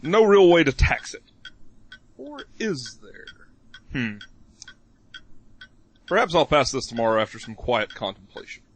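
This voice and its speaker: A man's voice: on the high side, fairly bright tone, slight background noise. A man playing an eccentric, vain and greedy mayor in a comedic audio drama, pompous, wordy and theatrical. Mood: smug musing.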